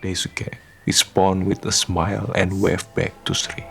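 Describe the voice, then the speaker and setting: Radio drama narrator, male: low, creaky voice, measured scripted storytelling over faint music. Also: level tone, fairly bright tone.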